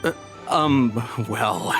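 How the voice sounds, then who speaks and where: A man's voice: mid-range voice, lively intonation, extremely slow, creaky, heavily acted. A man voicing a flustered, fawning tea merchant in a video game, stammering and apologetic as he evades his customers. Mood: nervous, evasive. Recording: music behind the voice.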